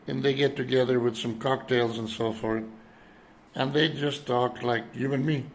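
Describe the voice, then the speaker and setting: A man in his seventies from Europe speaking in an unscripted, reflective monologue, halting as he searches for words. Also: mid-range voice, gravelly. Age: 70s. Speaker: citizen vlogger addressing world leaders.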